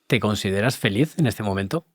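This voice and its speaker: A man's voice: on the low side, expressive, a little fast, slightly rough, dry recording, studio quality. A man hosting a dental podcast, asking short friendly questions and closing the episode. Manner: brief affirmations, friendly questions. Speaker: podcast host. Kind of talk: interview questions and sign-off.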